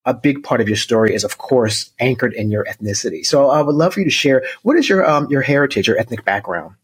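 A man's voice: medium pitch, expressive, fast, slightly rough. A man hosting an interview, asking friendly, hesitant questions with fillers. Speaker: interviewer, host. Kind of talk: interview conversation.

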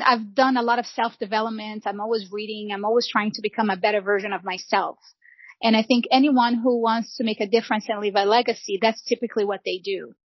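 A woman's voice: mid-range voice, dry recording. A middle-aged woman from Brazil, earnestly telling her life story as a guest on an interview podcast. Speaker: guest, real estate founder. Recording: dull lo-fi, dry sound.

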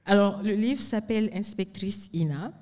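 A woman's voice: medium pitch, normal speed, warm tone. A woman from Mali, speaking conversationally as a guest at a live event. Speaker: panel guest, author. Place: live recording in a university bar. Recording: reverberant room, live event recording.

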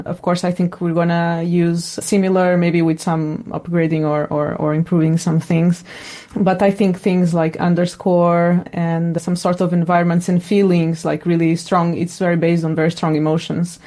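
A woman's voice: fairly low pitch, little pitch movement, normal speed, dark tone. A woman giving conversational interview answers as a sound designer, thinking aloud in a tentative way.

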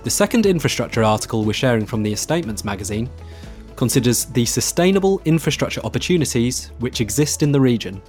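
A man's voice: mid-range voice, big pitch swings, quick pace, a little mellow. Male podcast host over faint music. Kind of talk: scripted presenting.

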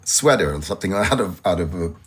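A man's voice: low voice, lively intonation, a little fast, husky. An older man telling stories in an interview, with light laughs and playful asides. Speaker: choreographer guest. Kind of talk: reflective interview storytelling.

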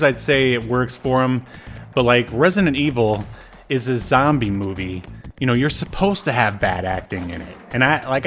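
A man's voice: medium pitch, slight background noise. An American man chatting casually as a podcast co-host, with jokey, mock-indignant asides. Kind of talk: casual review banter.

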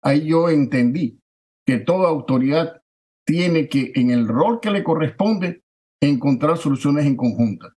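A man, a political candidate giving forceful, declamatory campaign answers that stack repeated phrases for emphasis. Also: on the high side, expressive, unhurried. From Ecuador.

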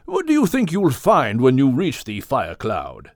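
A genial whale of the old British gentlemanly sort, acted by a male audiobook reader. The speech is courteous and old-fashioned, with animated swings in pitch. The sound is dry and storybook-clear. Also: unhurried, dry recording, heavily acted. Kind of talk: audiobook character acting.

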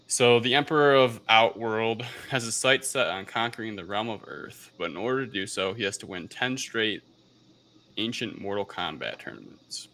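A man's voice: on the low side, expressive, normal speed, pushed and bright. A man hosting a podcast, talking casually through a film review in a somewhat hesitant way. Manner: hesitant, unsure of pronunciations. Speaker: podcast host.

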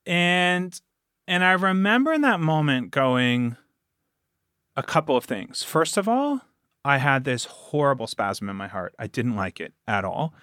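A man's voice: on the high side, lively intonation, measured pace, fairly bright tone, clear, resonant tone, dry recording. Man chatting casually, dry close recording. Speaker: podcast producer and guest. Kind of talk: casual interview conversation.

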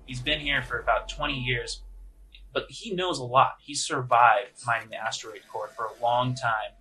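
A man works as game master, narrating a tabletop adventure. His voice is bright and forceful at a middle pitch. He improvises in informal, halting phrases, with faint noise in the background. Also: low-quality recording.

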